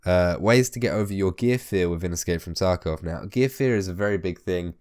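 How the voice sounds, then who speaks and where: A man hosting a gaming video, a little hoarse as his voice comes back, talking tips in a chatty way and swearing casually. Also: low voice, fairly quick, a little mellow. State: hoarse, recovering from losing his voice. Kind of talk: casual spoken game tips.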